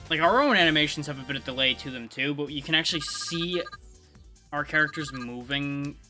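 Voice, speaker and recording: Man livestreaming over clear game music. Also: fairly high pitch, a little fast, pushed and bright. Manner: thinks aloud, explains game mechanics conversationally. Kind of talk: casual live gameplay commentary. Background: video game music.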